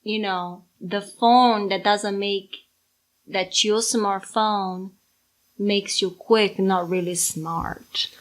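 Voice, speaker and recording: A woman's voice: medium pitch, steady tone, extremely slow, low-quality recording. A middle-aged Brazilian woman with a Brazilian accent, co-hosting a casual podcast with her daughter, playful and teasing. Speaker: podcast co-host, mother. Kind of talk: casual chat.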